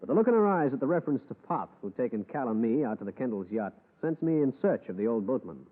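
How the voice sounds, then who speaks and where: An actor playing a dry, wisecracking hardboiled private detective who also narrates the story, in 1940s radio style. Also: male voice, mid-range voice, very animated, fast. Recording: tinny old radio broadcast.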